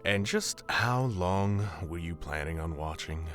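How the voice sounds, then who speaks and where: A man's voice: deep voice, lively intonation, measured pace, fairly bright tone, soft background music. A man playing an arrogant demon prince in a roleplay drama, dry, haughty and sardonic. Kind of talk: Minecraft roleplay voice acting.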